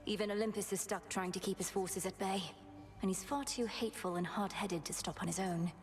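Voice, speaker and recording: A woman playing a resolute young goddess princess in a video game, speaking in a formal, measured, determined way. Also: medium pitch, fairly even intonation, faint music behind, close to an everyday voice. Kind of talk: dramatic video game acting.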